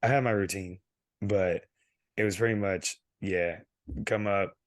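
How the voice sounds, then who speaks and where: Low-voiced man, throat going hoarse. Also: unhurried, no echo. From California. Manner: rambling, animated storyteller. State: losing his voice, clearing his throat. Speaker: podcast co-host. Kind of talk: casual podcast chat.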